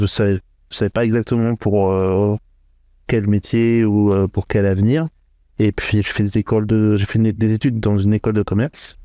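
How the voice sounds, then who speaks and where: A middle-aged man from northern France answering interview questions, thoughtful and hesitant, with frequent filler pauses. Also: fairly low pitch, mellow, smooth, no echo, studio quality. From France, Hauts-de-France. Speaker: podcast guest, entrepreneur. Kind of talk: interview conversation.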